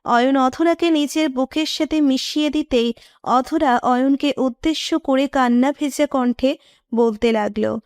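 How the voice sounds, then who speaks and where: A woman's voice: high voice, a little slow, darkish tone, no room sound, studio quality. A woman reading scripted narration of a romantic story.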